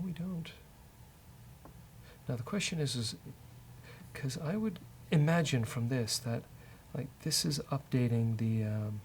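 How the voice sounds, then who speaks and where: A man hosting a casual live-coding session, thinking aloud as a software developer. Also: medium pitch. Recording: faint noise, keyboard typing.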